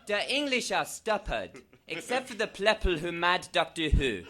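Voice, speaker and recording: High, bright man playing a gushing teenage fangirl. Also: big pitch swings, normal speed, slight background noise, exaggerated voice. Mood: gushing, petulant. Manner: overwrought, exclaiming, emphatic. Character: gushing, melodramatic nineteen-year-old fangirl narrating her own fanfiction. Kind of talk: comedic dramatic reading.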